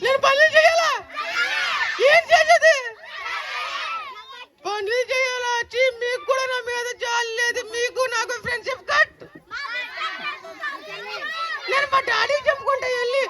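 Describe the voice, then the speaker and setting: A mischievous little boy puppet with an extremely high, squeaky voice. He whines, pleads and repeats himself dramatically. It is made for a children's church puppet show. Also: moderate pace, pushed and bright, shouting, a faint crowd. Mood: whiny, indignant. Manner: whining, repetitive, addresses the children as friends.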